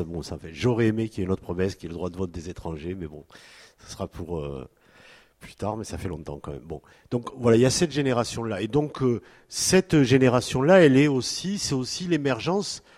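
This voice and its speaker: Man in his fifties, panel speaker, lowish voice, halting delivery with lots of filler, long hall echo. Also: darkish tone. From France. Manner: halting, many fillers and restarts. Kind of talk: hesitant spoken talk at a public panel.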